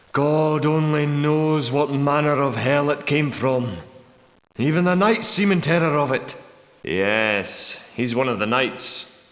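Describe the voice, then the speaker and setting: A man's voice: mid-range voice, fairly bright tone. A male actor with a Scottish accent playing a weary, wary old war veteran in a video game, guarded and plain-spoken, with echoey reverb. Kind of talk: video game character dialogue. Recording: echoey, long hall-like reverb.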